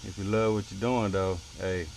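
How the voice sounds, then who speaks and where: A man's voice: deep voice, level tone, normal speed, gravelly, darkish tone, a little hiss or hum, low-quality recording. A young man working as a HVAC technician, talking casually and encouragingly while he works in a walk-in cooler, recorded on a head-mounted camera. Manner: laid-back, chatty, encouraging. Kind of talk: casual work vlog talk.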